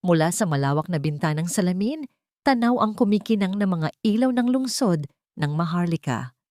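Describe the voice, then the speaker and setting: Low-voiced woman storyteller, dry close sound. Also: lively intonation, moderate pace, darkish tone, no room sound. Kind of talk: scripted dramatic narration of a love story.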